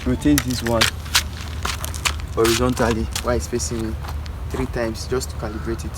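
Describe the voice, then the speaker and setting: A man's voice: mid-range voice, little pitch movement, normal speed, noisy background. A man outdoors patiently explaining drone controls step by step. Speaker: drone operator showing the controls.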